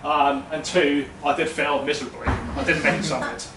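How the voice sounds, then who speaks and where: A man's voice: fairly high pitch, fairly even intonation, moderate pace, music in the background. A man in his thirties from Denmark telling a personal story to a live audience, chatty and self-deprecating with many ums. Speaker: storytelling event speaker. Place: small brick-walled event room, indoors. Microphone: far room mic. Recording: echoey room, distant mic, noisy.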